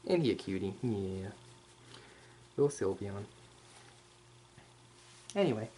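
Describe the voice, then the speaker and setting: A man voicing a male hyena furry commander in a casual, rambling, playfully cocky ASMR roleplay, speaking straight to the listener with slangy asides and hesitant pauses. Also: fairly low pitch, lively intonation, mellow, poor audio. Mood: casual, boastful. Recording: clear background noise.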